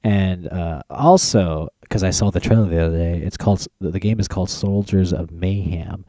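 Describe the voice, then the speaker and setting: A man's voice: sits low, steady tone, normal speed, mellow, no room sound, clean studio sound. A man hosting a casual podcast, thinking aloud with hesitations and repeated words. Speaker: podcast host counting down a list.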